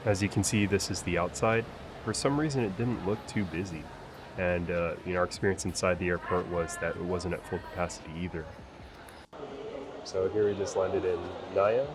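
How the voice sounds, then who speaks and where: Man, travel vlogger, low voice, relaxed and chatty, filler words, faint background music. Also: normal speed. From United States. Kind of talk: casual travel vlog narration.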